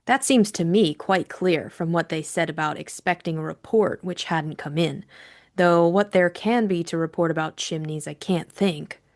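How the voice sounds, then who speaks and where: Audiobook heroine, read by a woman: frank young lady, slightly low pitch, brisk and matter-of-fact. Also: lightly acted. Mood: earnest. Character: Bundle, spirited, frank, teasing young woman. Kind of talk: audiobook character acting.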